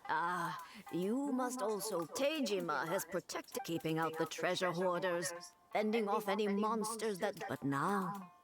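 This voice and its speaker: A woman's voice: medium pitch, measured pace, hardly any pauses, over music, natural voice. A woman voicing a worried, earnest villager in a fantasy game. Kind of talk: animated fantasy game voice acting.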